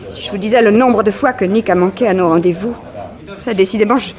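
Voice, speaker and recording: An actress playing a proud, indignant young jilted bride, speaking articulately in a 1960s French radio drama. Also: female voice, mid-range voice, quick pace, darkish tone, close to an everyday voice. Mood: offended resolve. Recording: crowd murmur behind. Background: crowd.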